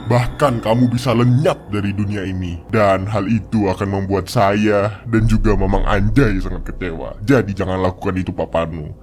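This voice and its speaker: A man's voice: lowish voice, lightly acted. A man voicing a menacing cartoon ghost quail boss who commands his minion in a gloating way. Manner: slow gloating, commanding villain talk with laughs. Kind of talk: cartoon horror-comedy voice acting. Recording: music behind the voice.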